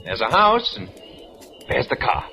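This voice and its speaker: An actor playing a cynical, wisecracking city cab driver with brash slang in an old-time radio drama. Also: male voice, medium pitch, huge pitch swings, a little slow, loud music behind the voice. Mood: breezy, cheeky. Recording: vintage radio recording.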